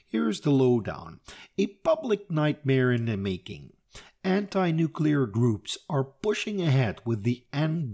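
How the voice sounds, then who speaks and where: A man with a Dutch accent giving an opinionated educational commentary and reading out a translated news article. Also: breathy edge. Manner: opinionated, emphatic, lively pitch swings. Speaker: video commentator.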